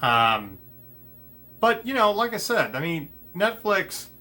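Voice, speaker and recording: A man hosting an entertainment show, talking casually to his audience in a halting, conversational way, often repeating phrases. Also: high voice, very animated, sharp tone. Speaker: host of an entertainment news show. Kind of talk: casual opinion talk to the audience.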